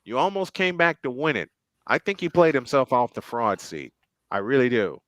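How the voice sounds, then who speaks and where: A man's voice: medium pitch, lively intonation, moderate pace, no room sound. A man doing live play-by-play commentary on a football game, chatty and thinking aloud between plays.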